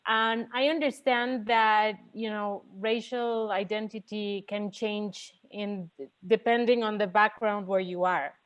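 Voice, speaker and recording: A woman's voice: mid-range voice, steady tone, slow pace, clean tone. A woman from Mexico with a Mexican Spanish accent, asking a careful, hesitant question over a video call. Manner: careful, hesitant, many fillers. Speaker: audience member asking a question. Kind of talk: asking a question in an online panel. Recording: dull, muffled video-call audio.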